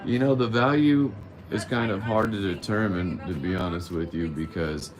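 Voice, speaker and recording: Deep-voiced blunt man around fifty. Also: moderate pace, a crowd in the background. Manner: candid and blunt, rambling, swears casually. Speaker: livestream host answering viewer questions. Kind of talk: casual live-stream Q&A.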